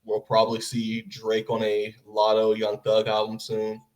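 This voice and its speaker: A man's voice: on the low side, monotone, a little slow, darkish tone. Man bantering in casual, slangy talk. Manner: blunt, slangy, joking. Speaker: podcast host. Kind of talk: casual chat.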